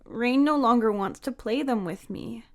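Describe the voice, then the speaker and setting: Audio story role: woman as small boy, innocent and sad, high voice, stammering and earnest. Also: moderate pace, close to an everyday voice. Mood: sulky, hesitant. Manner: hesitant, stammering.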